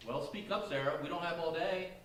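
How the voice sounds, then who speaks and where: A man's voice: a little high, fairly quick, fairly bright tone, natural voice. A man playing a weary, stern father with a short temper in a staged play reading. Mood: stern, impatient. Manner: apologetic to others, short-tempered with daughter. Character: weary, stern father seeking a new start. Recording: echoey hall, distant mic, faint noise.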